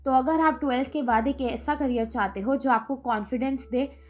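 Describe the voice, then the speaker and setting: A woman's voice: high-pitched, normal speed. A woman radio host presenting a scripted career guide, speaking directly to listeners as friends in a motivational way.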